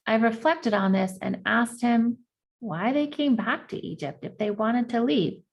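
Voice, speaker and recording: A woman reading a children's historical novel aloud in the first person, with expressive delivery and the odd aside to her listeners. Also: on the high side, unhurried, gravelly, breathy edge, muffled recording.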